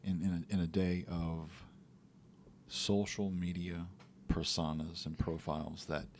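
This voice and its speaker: A man co-hosting a podcast, talking in a relaxed, reflective way about faith and daily life, sometimes acting out little quotes. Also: sits low, unhurried, slight background noise. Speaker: podcast co-host. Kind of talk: casual faith talk.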